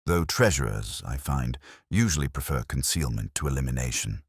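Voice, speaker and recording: A man voicing a calm, soft-spoken middle-aged police inspector who talks in a measured, dryly understated way. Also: very deep voice, husky, dry recording, clean studio sound, voice pushed down, exaggerated voice.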